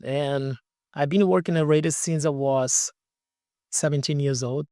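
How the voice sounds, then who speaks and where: Halting Brazilian man telling personal stories. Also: fairly high pitch, fairly even intonation, darkish tone, dry recording, studio quality. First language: Portuguese. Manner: halting non-native English, repeats words. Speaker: podcast guest, radio station owner. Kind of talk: casual personal storytelling.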